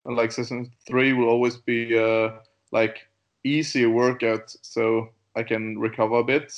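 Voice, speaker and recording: Slow, halting man from Denmark. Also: medium pitch, flat delivery. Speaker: guest powerlifter. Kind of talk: casual interview chat.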